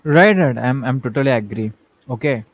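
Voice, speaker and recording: A man hosts a live interview stream and puts questions to a guest. He talks hesitantly, pausing on fillers as he goes. The sound is dry, with no room echo. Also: mid-range voice, lively intonation, narrow, tinny audio. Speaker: host.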